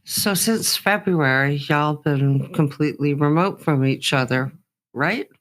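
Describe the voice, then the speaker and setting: A woman's voice: deep voice, measured pace. A woman interviewing guests as host of a music show.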